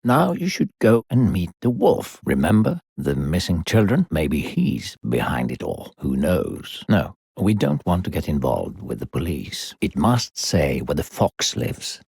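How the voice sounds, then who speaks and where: A man playing a sinister, coaxing disembodied narrator who guides a child in a video game, gravelly, slow, theatrical and condescending. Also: sing-song, darkish tone, dry recording, clean studio sound, heavily acted. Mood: sly, ominous. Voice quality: deep, gravelly. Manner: smooth-talking, condescending, theatrical, slow and deliberate.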